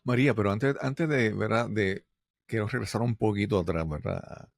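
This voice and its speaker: A man's voice: on the low side, normal speed, gravelly. A man hosting a podcast interview, asking questions in a hesitant way with many restarts. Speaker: podcast host.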